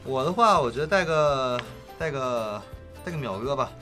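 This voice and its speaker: A man's voice: medium pitch, animated, moderate pace, pushed and bright, faint music behind. A man bantering playfully in a casual group chat on a cast panel.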